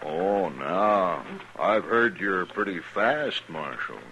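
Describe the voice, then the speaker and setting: A man's voice: deep voice, expressive, slow pace, pushed and bright, muffled recording, heavily acted. A smug sneering gunman. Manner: sneering, mock-friendly threats. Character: smug menacing male gang leader gunman. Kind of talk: 1950s radio drama acting.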